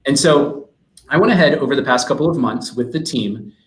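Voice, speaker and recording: A man with a mid-pitched voice gives an explanatory talk as a webinar guest. His manner is businesslike. The recording has a noticeable roomy echo. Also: expressive, a little fast. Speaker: guest speaker. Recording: roomy, echoey sound.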